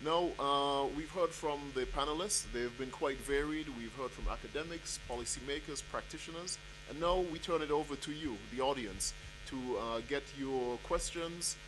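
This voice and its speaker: A man's voice: on the high side, moderate pace, hardly any pauses, background noise. A man moderating a conference panel, handing over to the audience in an orderly way with brief uhs. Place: conference hall.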